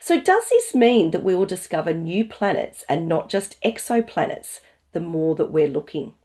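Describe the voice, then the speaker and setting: A woman's voice: lowish voice, sing-song, normal speed, warm tone, slightly rough. A woman hosting a live online educational session, reading out questions in an enthusiastic, encouraging way. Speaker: host reading out audience questions.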